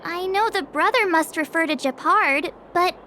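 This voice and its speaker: An actress voicing a perky, chatty young girl adventurer in a video game, with an expressive, questioning manner. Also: very high voice, normal speed, slight background noise. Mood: curious. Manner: expressive, questioning, easily indignant. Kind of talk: anime-style game voice acting.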